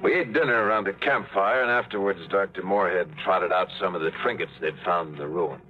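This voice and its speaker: An actor playing a wisecracking pilot who also narrates, in a vintage radio drama. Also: male voice, low-pitched, brisk, natural voice. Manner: dry, wisecracking. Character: wisecracking male pilot adventurer. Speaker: narrator and lead character. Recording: dull vintage radio broadcast with loud music.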